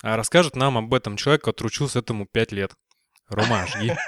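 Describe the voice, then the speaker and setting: A man's voice: on the low side, fast talker, fairly bright tone, dry recording, studio quality. A man hosting a podcast in a casual, chatty style. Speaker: podcast host.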